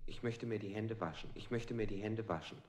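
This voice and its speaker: Low-voiced captive man played by an actor, echoey. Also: fairly quick, a little mellow, natural voice. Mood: detached, repetitive. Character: captive man under brutal interrogation, evasive, stubbornly repetitive. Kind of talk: avant-garde radio play, stylised delivery. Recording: echoey, very noisy old radio play recording.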